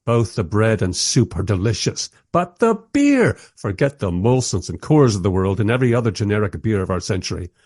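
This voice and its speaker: A man playing a wry documentary maker, narrating a radio play in the first person with expressive, husky delivery. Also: on the low side, brisk, few pauses, no room sound, clean studio sound. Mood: wry, enthusiastic. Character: wry, enthusiastic documentary maker narrating his historical encounters.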